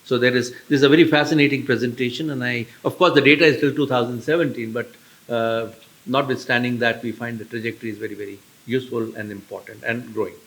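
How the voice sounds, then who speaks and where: A man's voice: medium pitch, steady tone, a bit bright, low-quality recording. Formal man chairing a panel. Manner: measured, formal, with filler restarts. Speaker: session chair. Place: conference hall.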